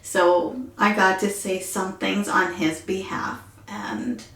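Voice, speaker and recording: Ukrainian-accented woman vlogger, mid pitch, quiet halting delivery, roomy echo. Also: flat delivery, creaky, faint music behind. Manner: soft-spoken, halting with fillers. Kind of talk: casual personal vlog.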